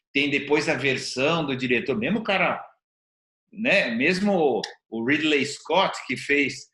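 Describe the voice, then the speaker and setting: A man's voice: mid-range voice, fairly bright tone. A middle-aged or older Brazilian man in a conversational discussion, explaining things and repeating phrases for emphasis. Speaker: interview show host. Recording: roomy, echoing sound.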